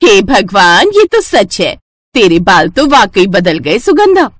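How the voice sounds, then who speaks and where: A woman's voice: medium pitch, big pitch swings, slow, no echo. A woman voicing a pious, admiring village aunt in a cartoon story, exclaiming in a familiar way. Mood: amazed.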